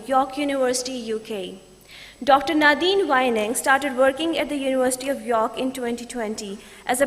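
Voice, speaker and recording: A woman host reading a formal, measured introduction of the next speaker. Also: sits high. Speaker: conference host. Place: large conference hall. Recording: hall reverb.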